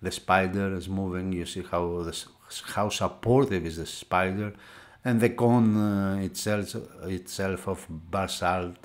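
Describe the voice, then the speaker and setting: Halting, lower-pitched man presenting a product. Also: steady tone. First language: not English. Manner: halting, searching for words, with frequent hesitations. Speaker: product reviewer for an audio magazine. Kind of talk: unscripted hands-on product commentary.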